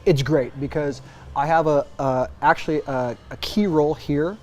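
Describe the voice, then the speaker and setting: Animated man in his forties, slightly higher voice. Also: moderate pace. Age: 40s. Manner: animated, emphatic, frequent restarts. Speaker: interview guest, brand executive. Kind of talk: casual interview answers.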